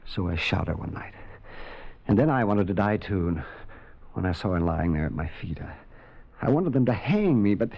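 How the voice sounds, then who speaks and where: A haunted carnival man, halting and tormented. His voice sits low. The sound is dull, like an old radio broadcast. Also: big pitch swings, vocal fry, exaggerated voice. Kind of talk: 1940s radio acting.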